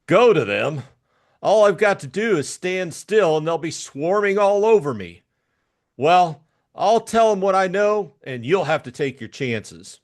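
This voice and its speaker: A man's voice: high voice, animated, measured pace. A man acting an irritable, blunt hard-boiled private detective in an audiobook drama. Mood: enraged. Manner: blunt, impatient.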